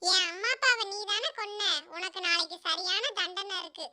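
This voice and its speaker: A woman's voice: very high-pitched, normal speed, sharp tone, exaggerated voice. A woman voicing small animals in a cartoon moral story, childlike and earnest. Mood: indignant. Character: small cartoon animals: orphaned mouse child, caring mother bird.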